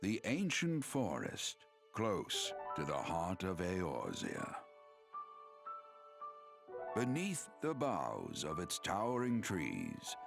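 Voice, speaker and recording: An actor playing a solemn narrator of a fantasy game realm, a man with a gravelly voice giving grave cinematic storytelling. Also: low voice, huge pitch swings, slow, pauses often, full character voice. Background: loud cinematic music.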